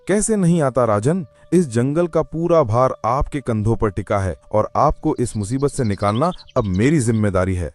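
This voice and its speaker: A man's voice: mid-range voice, normal speed, hardly any pauses, lightly acted. A man doing a wise old bear elder. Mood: reproachful. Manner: calm, fatherly. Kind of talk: cartoon voice acting.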